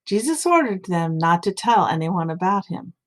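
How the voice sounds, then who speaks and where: Expressive woman reading scripture aloud. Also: on the low side, moderate pace. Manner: dramatic, emphatic delivery of quoted dialogue. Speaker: audio Bible reader.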